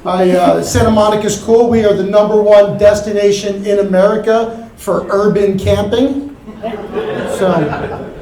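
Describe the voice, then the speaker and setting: A young man from Miami doing a stand-up set on a comedy club stage, with a deadpan, offhand delivery and quick asides. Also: high voice. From Miami, Florida. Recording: roomy club with crowd laughter. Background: audience laughter.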